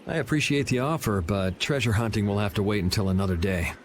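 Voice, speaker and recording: A man plays a pragmatic young man in a video game. His voice is fairly low, casual and laid-back, with slight ambience behind it. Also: quick pace, a little hiss or hum, lightly acted. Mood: cool, matter-of-fact. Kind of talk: English video game voice acting.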